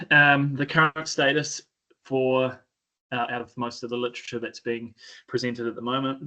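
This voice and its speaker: A man with a New Zealand accent giving an informal presentation, often pausing mid-phrase in a hesitant way. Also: mid-range voice, pushed and bright, poor audio. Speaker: sheep and beef farmer, presenter.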